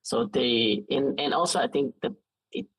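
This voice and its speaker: Israeli-accented guy late 20s, kinda husky, dry close sound, casual interview chat, keeps restarting sentences. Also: medium pitch, fairly bright tone, no room sound, low-quality recording. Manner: halting, thinks aloud with frequent false starts. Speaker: startup founder, interview guest.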